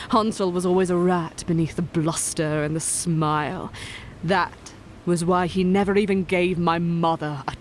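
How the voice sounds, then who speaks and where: A woman performing a cold, vengeful witch who narrates her own dark tale in a deliberate, intense, dramatic way. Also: fairly low pitch, a little hiss or hum. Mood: hushed, bitter. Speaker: story narrator. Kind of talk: dramatic first-person scripted narration.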